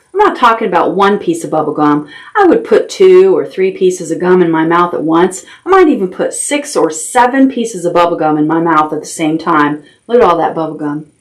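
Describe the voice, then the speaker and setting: A woman hosting a children's storytime, reading aloud expressively and talking simply and directly to young listeners. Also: fairly low pitch. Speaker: library storytime host. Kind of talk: children's storytime with action songs and read-aloud.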